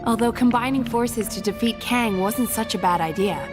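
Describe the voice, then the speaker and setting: An actress playing a loyal, dutiful young royal sister in a game cutscene. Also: female voice, fairly high pitch, normal speed, over music, natural voice. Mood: dutiful, reflective.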